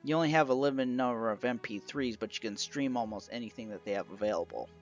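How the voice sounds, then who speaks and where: A man's voice: medium pitch, fast talker, lo-fi sound. A man narrating an informal review of a collection as its reviewer. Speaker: reviewer of an old radio show collection. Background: music.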